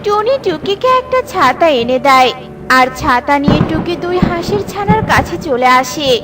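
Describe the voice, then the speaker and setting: A woman's voice: very high voice, lively intonation, moderate pace, loud music behind the voice. A woman narrating a scripted children's cartoon story.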